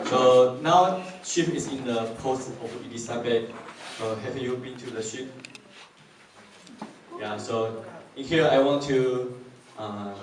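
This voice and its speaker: A man in his twenties from Taiwan, a ship crew volunteer, giving a halting presentation to a church. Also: medium pitch. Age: 20s. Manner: halting, searching for words, simple phrasing. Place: church hall. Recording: echoey hall, mic far off, faint noise.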